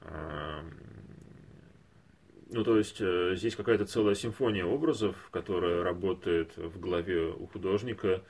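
A man's voice: deep voice, measured pace, a little mellow, slightly rough. A man co-hosting a podcast, discussing reflectively and conversationally, analytical and often searching for words. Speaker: podcast co-host.

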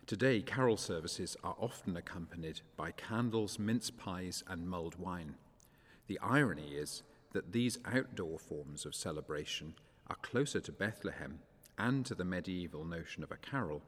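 A man's voice: deep voice, lively intonation, moderate pace, slightly rough. A man giving a scripted introduction as presenter of a carol service. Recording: long church-like reverb.

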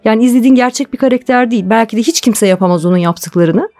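A woman's voice: a little high, fast talker, dark tone. A woman speaking as an interview guest, explaining her thoughts in a conversational, reflective style. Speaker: interview guest, television drama producer.

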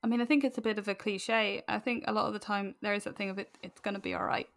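A British woman in her late twenties talking candidly and informally as an interview guest, with an animated, emphatic manner. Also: mid-range voice, quick pace, vocal fry. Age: late 20s. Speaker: podcast guest, writer.

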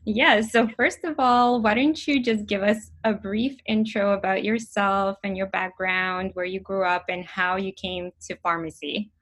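A woman's voice: mid-range voice, fairly even intonation, measured pace, hardly any pauses, a bit bright. A woman hosting a podcast, reading an enthusiastic intro and then asking questions. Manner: upbeat, enthusiastic. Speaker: podcast host. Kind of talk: scripted intro then interview.

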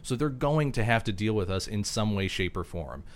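A man's voice: fairly low pitch, lively intonation, normal speed. A man in a political discussion, blunt and sardonic, swearing casually. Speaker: panelist.

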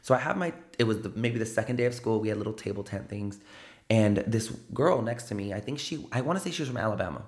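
A man's voice: lowish voice, quick pace, roomy sound. A man from the South Side of San Antonio with a South Texas accent, telling stories casually as a guest in a studio, tagging his points with "right?". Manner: animated, peppers stories with "right?" and "like". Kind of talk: casual storytelling interview. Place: podcast studio.

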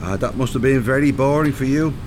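A man's voice: medium pitch, a little fast, noisy background, lightly acted. An actor playing a mild, agreeable older man with a Dublin accent in a radio drama, cheerful and inquisitive. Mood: mildly inquisitive. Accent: Dublin Irish.

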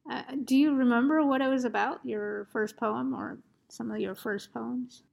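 A woman's voice: a little high, fairly even intonation, moderate pace, creaky, darkish tone. A woman interviewing a guest, asking questions and responding with frequent short acknowledgements. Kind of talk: conversational interview.

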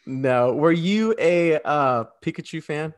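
A man's voice: on the high side, lively intonation, takes it slowly. A man co-hosting a podcast, talking about films in an informal, conversational way. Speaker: podcast co-host. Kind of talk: casual film discussion.

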